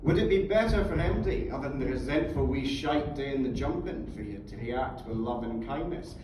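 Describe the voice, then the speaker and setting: A man's voice: medium pitch, lively intonation, a little fast, natural voice. A man reading the part of a fervent, sweary Scottish mentor who philosophises in an emphatic, coaxing manner. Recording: echoey theatre from far off, faint noise.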